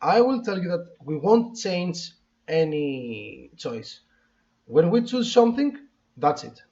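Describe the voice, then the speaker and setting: A man hosting a casual game playthrough, chatting haltingly and reading the on-screen text aloud with his own comments. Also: fairly high pitch, very animated, warm tone. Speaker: host of a gaming playthrough. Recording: dull lo-fi mic, music behind. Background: game background music.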